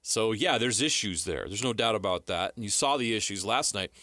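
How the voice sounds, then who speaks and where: A man's voice: lowish voice, animated, fairly quick, pushed and bright, dry recording. An American man co-hosting sports radio, giving casual, conversational analysis. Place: radio studio. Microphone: close broadcast microphone.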